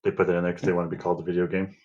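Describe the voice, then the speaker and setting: A man's voice: deep voice, flat delivery, rapid-fire, darkish tone. A man co-hosting a podcast in casual, hesitant, noncommittal chat on a slightly lo-fi microphone. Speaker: podcast co-host. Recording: slightly dull, lo-fi microphone sound.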